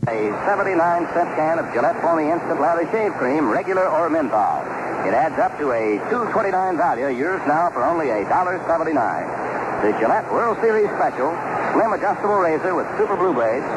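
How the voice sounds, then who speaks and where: Male play-by-play man for vintage 1960s radio baseball, swinging intonation, buried in loud noise. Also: a little high. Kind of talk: 1960s radio baseball commentary and sponsor reads. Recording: very noisy 1960s radio broadcast.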